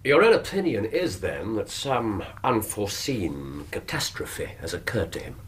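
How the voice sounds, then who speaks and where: A courteous, authoritative Victorian detective for radio drama. His English-accented voice is husky and fairly low, with formal phrasing that rises and falls expressively. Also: huge pitch swings, unhurried, heavily acted. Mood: probing, courteous. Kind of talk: period radio drama acting.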